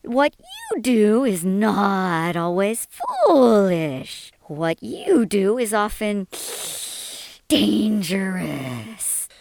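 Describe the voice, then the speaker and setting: A woman voicing an ancient, imperious female alien lizard leader in a story, suspicious and scornful, chirping out her words deliberately. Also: mid-range voice, very animated, vocal fry, a little mellow, no room sound, exaggerated voice. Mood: scornful, ominous. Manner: chirping, slow drawn-out deliberate delivery.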